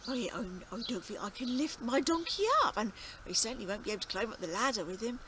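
A woman telling a story plays a kindly old farmer, flustered and fretful, tripping over his words as he worries aloud. His voice sits on the high side. The recording carries noticeable outdoor ambience and birdsong. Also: lively intonation, fast, background noise, close to an everyday voice. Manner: stammering, fretful, repeats himself.

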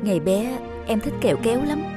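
A woman's voice: fairly high pitch, expressive, a little fast, music in the background, lightly acted. A woman playing a tender, wistful young wife in a cartoon. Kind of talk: cartoon dialogue.

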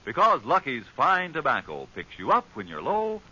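A man's voice: fairly high pitch, huge pitch swings, moderate pace, pushed and bright, husky. An American man delivering an emphatic, scripted 1940s radio advertisement. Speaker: commercial announcer. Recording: dull old radio recording.

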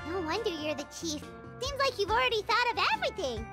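Tiny eager fairy companion, very high squeaky child voice, wildly swooping, talkative cartoon game style, music behind. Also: female voice, very animated, fast talker, full character voice. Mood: chatty. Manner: speaks of self in third person, exclamatory. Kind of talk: video game cartoon voice acting.

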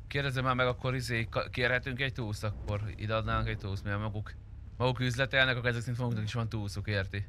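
A man's voice: mid-range voice, steady tone, pushed and bright. A man talking casually as a player in a roleplay game, over noisy voice chat.

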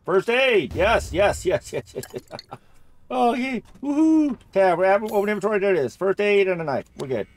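Excitable high-pitched man commentating on a game. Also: normal speed, slight background noise. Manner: excitable, chatty, thinking aloud. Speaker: gamer recording a play-through. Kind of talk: casual gameplay commentary.

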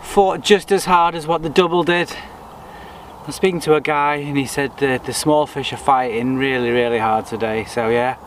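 A man's voice: medium pitch, moderate pace. A man, chatting casually about his fishing outdoors on a lakeside bank. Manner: relaxed, chatty, talks himself through each step. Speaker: angler vlogger. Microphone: camera on the bank in front of him. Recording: faint outdoor noise.

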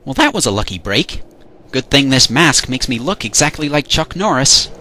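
A cocky young hero, played by a man who complains and brags in a bright, sharp voice. His delivery is animated and a bit whiny, in parody cartoon style. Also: mid-range voice, normal speed. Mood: smug. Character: cocky, whiny young adventurer hero, male, dim-witted. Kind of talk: fan parody cartoon dub.

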